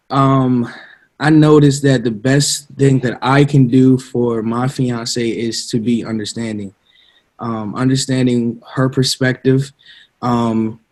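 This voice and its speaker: A man in his twenties speaking in a casual group discussion, thoughtful and measured, with frequent restarts. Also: medium pitch, darkish tone. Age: 20s. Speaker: panel guest.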